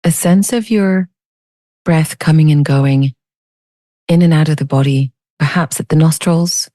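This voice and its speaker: Low, mellow woman guiding a meditation. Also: dry recording, studio quality. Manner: soothing, unhurried, gently inviting. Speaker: meditation teacher. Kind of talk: guided meditation.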